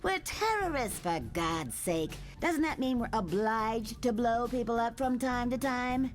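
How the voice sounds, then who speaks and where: A woman's voice: a little high, expressive, measured pace, faint music behind. An actress voicing a scornful, militant female mutant terrorist in a motion comic, sarcastic and disgusted.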